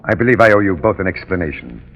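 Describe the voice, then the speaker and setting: An actor playing a genteel, controlling older uncle in a gloomy castle, formal and theatrical in 1930s radio melodrama style. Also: male voice, deep voice, sing-song, fast, a bit bright, slightly rough, heavily acted. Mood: grave, explanatory. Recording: dull, echoing 1930s radio recording.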